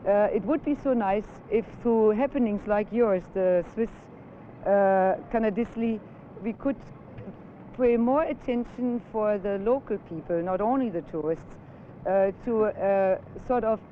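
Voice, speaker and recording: A woman's voice: medium pitch, unhurried. A woman in a street interview, speaking enthusiastically while searching for words. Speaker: local resident interviewed. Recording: tinny old film audio with street noise.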